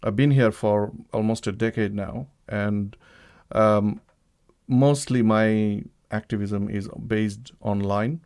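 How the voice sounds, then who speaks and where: Halting, low-voiced man hosting a livestream. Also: steady tone, a little mellow, smooth. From Bangladesh. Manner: hesitant, many ums and restarts. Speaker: livestream host. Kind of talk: live-streamed talk introduction. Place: home setup, living in the UK.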